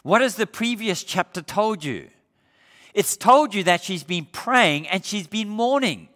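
A man's voice: high voice, unhurried. A middle-aged male pastor from Australia preaching a sermon on a church stage, asking the congregation rhetorical questions. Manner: animated preaching with rhetorical questions, gesturing while standing. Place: church sanctuary stage, indoors. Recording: reverberant church hall.

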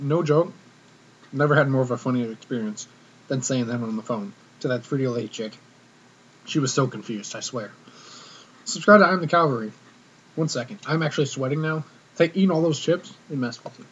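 A young man chatting casually to camera in a cocky, slangy, animated way, like a comedic vlogger. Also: medium pitch, a little fast. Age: young adult. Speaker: vlogger, prank caller. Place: small room indoors. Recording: dull webcam audio with noticeable hiss.

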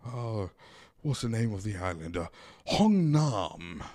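A man hosting a comedy show in a theatrical, mock-formal way, speaking into a boom-arm desk mic in a small home studio. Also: sing-song, takes it slowly, a little mellow. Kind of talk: hammy scripted comedy hosting.

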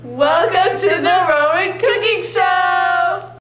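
Teen girl, high voice, slow hammy host delivery, echoey room; giggly mock cooking show. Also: over music. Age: teenage. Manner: giggly, hammy, stumbles over words.

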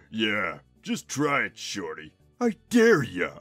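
A man voicing a huge, hot-tempered and vain turtle-monster king in a cartoon fan dub, gruff, raspy and blustering. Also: fairly low pitch, wildly swooping pitch, slow, a bit bright, faint music behind, exaggerated voice. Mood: cocky.

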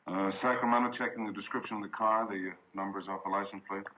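An American actor playing a gruff, commanding chief of detectives, brisk and authoritative, in an old radio crime drama. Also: male voice, on the low side, steady tone, natural voice. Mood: probing. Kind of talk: 1950s radio acting. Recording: muffled, noisy vintage radio broadcast.

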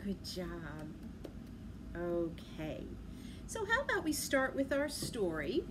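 A middle-aged woman talking to young children as a library story time host, in an animated, sing-song way with simple questions. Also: fairly low pitch, slow. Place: large library room with big windows, indoors. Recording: clear background noise, room ambience.

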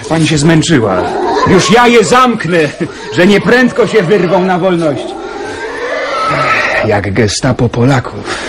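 A man's voice: a little high, very animated, measured pace, long pauses, heavy background noise. An actor playing an educated, brooding man in hiding in a wartime radio drama. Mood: wry. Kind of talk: Polish radio drama acting.

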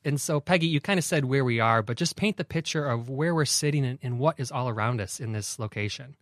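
A man's voice: medium pitch, fairly quick, no echo, clean studio sound. Male podcast host asking questions. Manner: friendly, conversational questioning. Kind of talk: podcast interviewing. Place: submarine galley.

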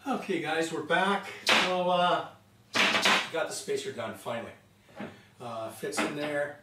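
A man in his fifties casually narrating his work in a machine shop, relaxed and pausing often with fillers. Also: on the high side, recorded from a distance. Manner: relaxed, talks while working, many "uh" fillers. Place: large home machine shop, indoors. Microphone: fixed camera across the room. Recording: roomy shop echo, off-mic, loud machine noise.

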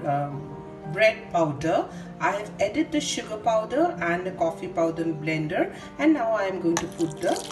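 A woman with an Indian accent narrating a recipe step by step as a home cooking vlogger, in a halting, repetitive way. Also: mid-range voice, recorded from a distance. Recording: roomy echoing home kitchen recording. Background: music.

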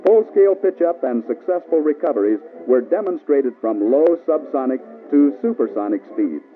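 An American man reading scripted instructional narration for a mid-century military training film, measured, authoritative and precise. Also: medium pitch, phone-quality sound. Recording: band-limited old film soundtrack with music.

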